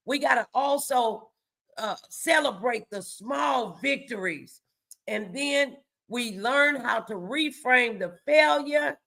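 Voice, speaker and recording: A woman delivers faith-based motivation as a livestream host. Her voice is bright and forceful, with a creaky edge. She takes her time, leaning on key phrases and repeating them emphatically as she urges listeners on. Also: on the high side.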